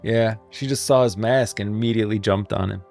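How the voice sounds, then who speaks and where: Low, gravelly male streamer thinking aloud. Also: steady tone, darkish tone, faint music behind. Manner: halting, thinking aloud, repeats himself. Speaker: streamer, lore commentator. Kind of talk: casual live game-lore commentary.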